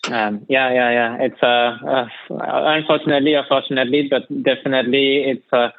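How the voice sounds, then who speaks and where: A man's voice: mid-range voice, fairly even intonation, fairly quick, slight background noise. A man in his thirties from France with a French accent, a podcast guest talking hesitantly with many fillers. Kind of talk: remote podcast interview. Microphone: call line. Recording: dry tinny call line.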